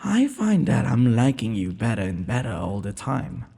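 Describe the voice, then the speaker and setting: Male actor as young prince, medium pitch, breathy and husky, formal, gentle, earnest, romantic roleplay, hall-like reverb. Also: sing-song, moderate pace, exaggerated voice. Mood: amused, teasing. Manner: formal, polite, breathy speech with hesitations. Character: gentle, courteous young underground prince, nervous, earnest suitor. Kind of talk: romantic audio roleplay acting.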